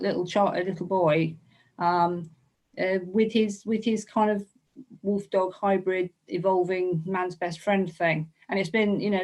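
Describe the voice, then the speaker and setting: A woman being interviewed as an illustrator, talking casually about her work and thinking aloud with hesitant, repeated phrases. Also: medium pitch. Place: online video call.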